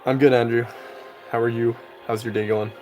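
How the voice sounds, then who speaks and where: A young man streaming a game, chatting casually with viewers in a relaxed, rambling way full of filler words. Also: medium pitch, moderate pace, soft background music. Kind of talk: casual live-stream chat while gaming.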